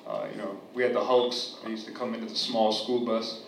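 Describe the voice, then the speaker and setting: A man's voice: lowish voice, little pitch movement, fairly quick, vocal fry. A young man from New Jersey doing a crude, rambling stand-up set, full of fillers and laughing at his own jokes. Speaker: stand-up comic at an open mic. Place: comedy club room with a live audience. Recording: echoey room, far from mic, loud hiss.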